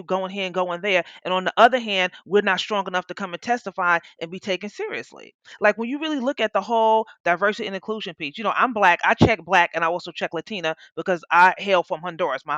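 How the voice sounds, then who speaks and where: A woman with a sharp, forceful voice makes an impassioned case as a panelist. She is blunt and emphatic, repeating phrases for weight. The audio is slightly dull and very dry. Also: mid-range voice, no echo. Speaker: panelist, women veterans advocate. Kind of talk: impassioned conversational advocacy.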